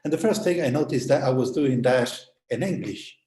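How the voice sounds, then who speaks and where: A man's voice: mid-range voice, fairly quick, warm tone. Italian-native man speaking in an echoey room. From Italy. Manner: hesitant, many fillers and restarts. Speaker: conference panelist. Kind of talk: conference talk, online panel.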